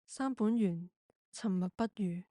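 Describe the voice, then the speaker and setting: A woman's voice: mid-range voice, slow pace, mellow, no echo, studio quality. A woman narrating a scripted radio drama.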